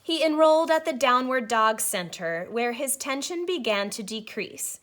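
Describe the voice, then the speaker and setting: Bright, expressive woman reading a storybook. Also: a little high, moderate pace. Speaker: first-grade teacher reading aloud. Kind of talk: expressive children's storybook read-aloud.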